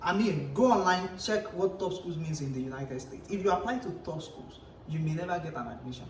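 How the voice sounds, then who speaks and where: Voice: medium pitch, brisk. A young Nigerian doctoral student speaking as a guest, giving blunt, emphatic advice in a casual chat. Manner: blunt and emphatic, argues firmly. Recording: roomy echo, distant mic, faint background noise.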